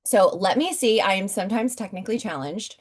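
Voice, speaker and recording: Upbeat woman in her forties pitching on a video call. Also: animated, fairly quick, slightly rough. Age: 40s. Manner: upbeat, chatty, keeps addressing the audience directly. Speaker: network marketing presenter. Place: home.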